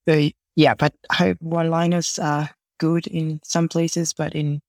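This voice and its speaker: A man from Tanzania, a podcast guest chatting casually and haltingly. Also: fairly high pitch, flat delivery, darkish tone, dry recording. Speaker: podcast guest, data scientist. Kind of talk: casual chat.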